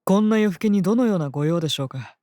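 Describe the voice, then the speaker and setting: A composed knight, voiced by an actor with a mid-range pitch, speaking with formal courtesy and calm devotion. It is fantasy audio drama acting, recorded close and dry. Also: racing, dry recording, lightly acted. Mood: composed, courteous.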